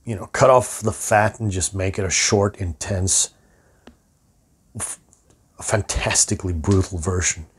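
A middle-aged man with a hoarse voice, rambling and swearing through a casual rant about his music to the camera. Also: low-pitched, unhurried, pauses often. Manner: rambling, profane, self-interrupting. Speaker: metal musician and vlogger.

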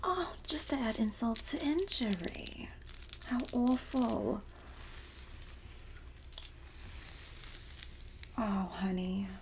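Woman acting as a sassy Jersey girl hairstylist, New Jersey accent, soft ASMR whisper, slow, flattering and soothing, loud background noise. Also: a little high, rough recording. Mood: sassy, indignant. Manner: gossipy, flattering, soothing, drawn-out delivery. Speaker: ASMR performer.

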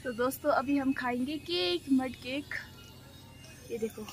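A woman's voice: sits high, a little slow, soft background music. A young woman from India vlogging on a handheld camera, talking casually to her viewers as friends. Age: young adult. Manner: chatty, addresses viewers as friends. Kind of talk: casual travel vlog narration. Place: outdoors, cafes, shops and a bus.

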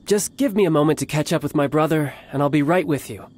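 A man's voice: fairly high pitch, quick pace, darkish tone, slight background noise, close to an everyday voice. A man voicing a charming, self-assured young soldier in a video game. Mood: amiable. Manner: smooth, amiable, confident.

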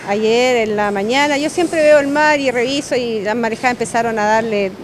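A woman's voice: a little high, slight background noise. Emotional Chilean woman, hotel manager. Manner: halting, emotional, repeats and restarts words. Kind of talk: on-camera news interview. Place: inside a wooden restaurant by the sea. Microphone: handheld microphone held close.

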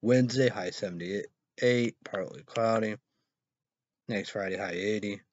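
A man's voice: fairly low pitch, dry recording. Man rambling into a dull, lo-fi mic. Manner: halting, spells words out, repeats phrases, bursts into loud exclamations. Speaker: online show host. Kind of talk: rambling solo vlog reading weather and news headlines. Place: small dim room indoors. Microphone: webcam or laptop mic at arm's length. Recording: dull lo-fi webcam audio.

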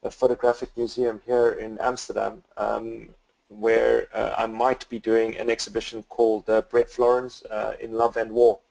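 A South African man in middle age with a rough, gravelly voice. He talks in a level, even tone, full of pauses, "uh"s and restarts. He is speaking into a computer mic from his home office. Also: fairly low pitch, highs cut off. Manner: hesitant, many fillers and restarts. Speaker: guest photographer. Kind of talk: webinar presentation.

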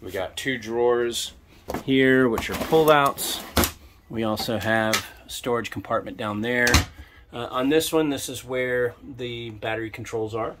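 A man's voice: mid-range voice, unhurried, noisy background. Easygoing middle-aged man touring a boat. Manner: relaxed, enthusiastic, filler-heavy. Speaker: boat tour host. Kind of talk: casual walkthrough tour. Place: small boat cabin, indoors. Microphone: handheld camera mic at arm's length.

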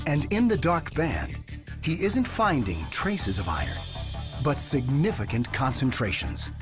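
A man reading scripted narration for a nature documentary in a measured, storytelling delivery. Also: mid-range voice, wildly swooping pitch. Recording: narration over loud music score.

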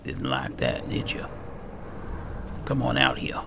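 Very deep, gravelly man playing a game. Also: very animated, takes it slowly. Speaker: gaming channel host. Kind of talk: roleplay gameplay commentary. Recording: clear background game noise.

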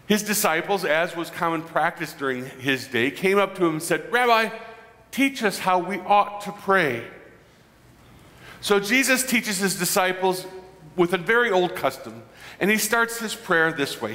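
A man in his forties preaching a conversational sermon as a pastor, animated and joking with his congregation. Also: high voice. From Midwestern United States. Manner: animated, gestures broadly, jokes with the congregation. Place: large church sanctuary. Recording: long church reverb.

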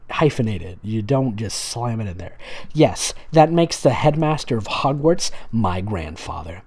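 A man with a relaxed, mellow voice and very lively intonation hosts a comedic reading. He slips between reading aloud and dry, sarcastic commentary, casual and mocking throughout. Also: medium pitch. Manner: sarcastic, mocking, dramatic asides. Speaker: host reading and mocking fan fiction.

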